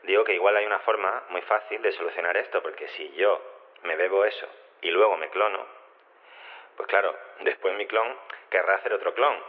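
A male actor plays an earnest, awkward man of science in an audio drama. His low voice stumbles, repeats and rambles on, heard through a muffled, narrow radio-style recording. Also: lightly acted. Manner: hesitant, rambling, repetitive. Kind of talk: naturalistic audio drama acting.

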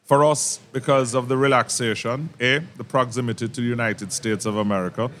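A man's voice: mid-range voice, steady tone, a little fast, fairly bright tone. Jovial Jamaican man, laughing easily. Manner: jovial, laughs often, repeats words while searching. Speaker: resort sales executive guest. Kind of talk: casual interview. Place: outdoors by a resort pool.

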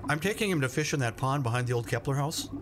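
A man's voice: mid-range voice, animated, fast talker, lightly acted. A man playing an awkward, well-meaning family fisherman in a radio play, hesitant and plainspoken, over noisy ambience. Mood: sheepish. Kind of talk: naturalistic radio play acting. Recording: noisy ambience with vehicle sounds.

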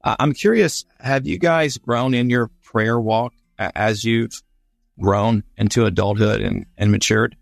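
A man interviewing in a conversational way, with a tentative, halting manner of putting questions. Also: mid-range voice, vocal fry, no echo, studio quality, faint music behind.

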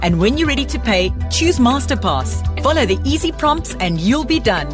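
A woman reading a radio advert in a smooth, reassuring way. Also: fairly high pitch, big pitch swings, normal speed, music in the background. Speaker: ad voice-over. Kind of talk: scripted radio ad.

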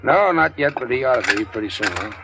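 An actor playing a chatty, knowing, informal gangster sidekick in a 1940s radio drama. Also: male voice, medium pitch, expressive, quick pace, creaky, background noise. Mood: chatty, amused. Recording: vintage 1940s radio broadcast.

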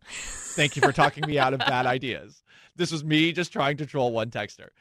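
A man's voice: mid-range voice, fairly bright tone, no echo, clean studio sound. Chatty male sports radio host. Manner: chatty, self-mocking, quick with asides. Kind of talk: casual sports talk radio.

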